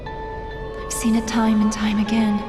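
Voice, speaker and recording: A woman's voice: medium pitch, monotone, takes it slowly, music in the background, close to an everyday voice. An actress playing an earnest, worried woman in a game cutscene, urgent and pleading. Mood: grave warning. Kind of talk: video game cutscene voice acting.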